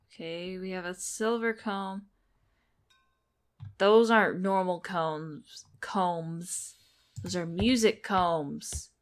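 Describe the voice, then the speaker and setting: A woman's voice: lowish voice, takes it slowly, smooth. A woman giving casual playthrough commentary, thinking aloud as she plays. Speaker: game streamer.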